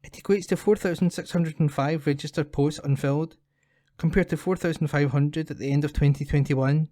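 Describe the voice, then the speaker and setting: A man's voice: a little high, fairly even intonation, a little fast, mellow, no room sound, studio quality. A man reading scripted local news as a volunteer for an audio newspaper. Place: at home, dry room.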